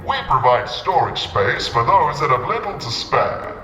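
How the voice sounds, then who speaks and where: A man playing an animated stone bust that serves as a courteous, officious warehouse clerk in a fantasy audio drama. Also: mid-range voice, unhurried. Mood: officious, welcoming. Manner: formal, officious, customer-service politeness. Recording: long echoing reverb, cavernous hall.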